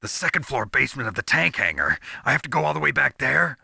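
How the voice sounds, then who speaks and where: An actor voicing a gruff, hardened soldier in a video game, terse and cynical, with a gravelly rasp. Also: male voice, medium pitch, big pitch swings, fast talker, bright, forceful tone, no room sound, studio quality, full character voice. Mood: urgent. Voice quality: gravelly, raspy.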